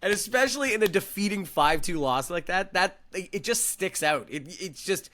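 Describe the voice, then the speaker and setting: A man co-hosting a casual sports podcast, enthusiastic and quick to laugh. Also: sits high, lively intonation, a bit bright, a little hiss or hum. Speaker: podcast co-host.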